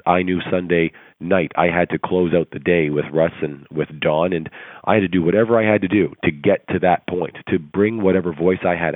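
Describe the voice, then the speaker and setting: Hoarse deep-voiced man on a phone line. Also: no echo. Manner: earnest, rousing, rhetorical questions. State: hoarse, recovering from laryngitis. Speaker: coaching call presenter. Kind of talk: motivational coaching talk. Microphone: telephone conference call. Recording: tinny phone line, dry.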